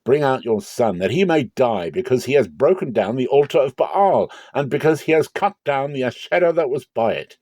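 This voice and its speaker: A man's voice: mid-range voice, lively intonation, normal speed, hardly any pauses, a little mellow, no echo, clean studio sound, pitched above the performer's own voice, lightly acted. A man voicing angry, accusing townsmen who demand punishment in a dramatic scripture reading.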